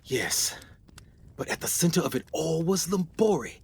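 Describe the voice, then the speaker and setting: An actor playing an ambitious, excitable yet haunted explorer doctor in a radio play, speaking in a formal, theatrical manner. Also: male voice, fairly high pitch, moderate pace, gravelly. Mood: haunted, hushed. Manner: formal, dramatic, swinging from exclamation to hushed dread. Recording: faint background noise.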